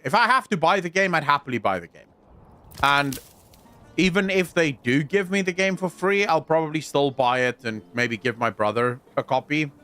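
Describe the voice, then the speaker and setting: A man's voice: on the high side, a bit bright. A South African man streaming a game, chatting casually with viewers and swearing now and then. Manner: chatty, swears casually, reads and answers chat. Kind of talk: casual gameplay livestream chat.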